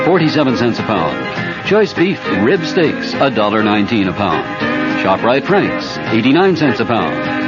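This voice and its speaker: Male radio announcer, polished and lively, mid pitch, muffled old broadcast over loud music. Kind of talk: polished vintage commercial announcing.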